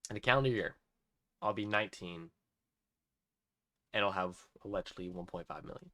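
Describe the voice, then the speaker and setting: An eighteen-year-old man ranting excitedly in a personal vlog, amazed and repeating himself, often restarting sentences. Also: deep voice, a little fast, no room sound. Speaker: vlogger.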